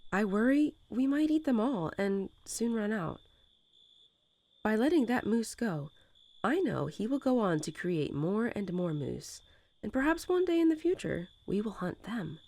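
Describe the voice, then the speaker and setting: Nurturing mother wolf, played by a woman, slightly low and soft, sometimes breathy, lulling tiny pups in a bedtime roleplay audio. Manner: tender, unhurried, lulling storytelling. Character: gentle nurturing mother wolf talking to her newborn pups.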